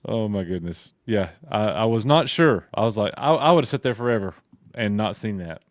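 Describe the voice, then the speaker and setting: Low guy from alabama on a stream call, laughs a lot, gets hyped, dry sound. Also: a little fast, no room sound. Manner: excited, laughing, restarts his phrases. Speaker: co-host. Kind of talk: casual chat on a livestream.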